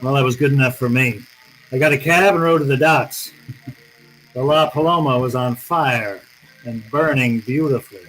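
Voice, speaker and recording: A man's voice: medium pitch, fairly bright tone, natural voice, rough recording. A man playing a tough, wry private eye in a radio noir drama, terse and slangy, both narrating and in dialogue. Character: wry, tough, hard-boiled male private detective.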